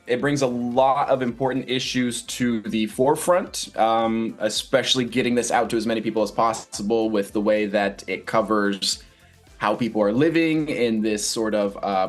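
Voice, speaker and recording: A man answering interview questions casually as a stage actor, earnest and thoughtful, often searching for his words. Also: fairly low pitch.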